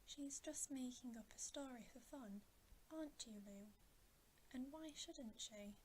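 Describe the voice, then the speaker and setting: A woman voicing a teasing but fair-minded storybook boy, an older brother, gently patronising in a half-whisper. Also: sits high, unhurried, slight background noise. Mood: fair, amused.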